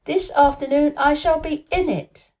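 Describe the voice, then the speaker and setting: A woman's voice: high-pitched, steady tone, measured pace, a little mellow, voice pushed up. A woman voicing a lordly young invalid boy in a storybook, self-important and precise, with a dreamy streak. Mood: dreamy, excited.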